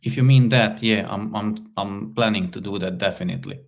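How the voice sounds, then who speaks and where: A man's voice: lowish voice, vocal fry. A man from Slovakia whose first language is Hungarian, chatting casually on a live stream and answering viewer comments with frequent restarts and fillers. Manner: halting, repeats words, many fillers. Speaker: live stream host, car repair hobbyist.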